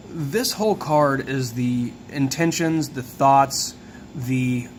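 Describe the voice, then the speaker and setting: A hoarse man hosting a video, explaining in a relaxed, musing way as he walks through details one by one. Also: medium pitch, a little hiss or hum, rough recording. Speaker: tarot video host. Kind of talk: casual explanatory talk.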